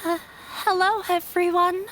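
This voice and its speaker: A woman playing a shy, nervous young novice dancer in a video game, speaking hesitantly and haltingly. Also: very high-pitched, little pitch movement, fairly bright tone, slight background noise, poor audio.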